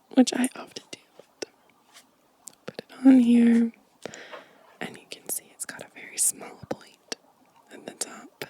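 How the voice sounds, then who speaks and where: A woman doing a gentle, casual ASMR show and tell, shifting between breathy whispering and soft-spoken talk close to the microphone. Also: medium pitch. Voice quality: breathy whisper mixed with soft voiced speech. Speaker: ASMR video host. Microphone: close microphone, dry sound.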